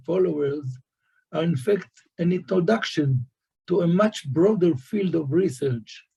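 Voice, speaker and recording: A man whose first language is Hebrew, discussing scholarship as a guest in a slow, halting way with many fillers. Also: on the high side, vocal fry, dark tone, husky, rough recording. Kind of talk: academic discussion.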